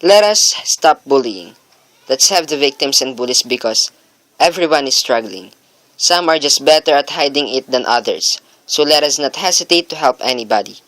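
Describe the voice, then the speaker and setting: A man's voice: mid-range voice, animated, moderate pace, fairly bright tone, no echo, lightly acted, poor audio. A man playing an earnest young son in a scripted radio drama monologue. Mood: earnest, urging. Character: earnest young son reading his farewell letter.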